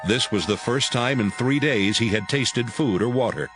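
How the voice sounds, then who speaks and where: A man narrating dramatized scripture from a script, in a clearly phrased storytelling manner. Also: medium pitch, moderate pace, fairly bright tone. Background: music.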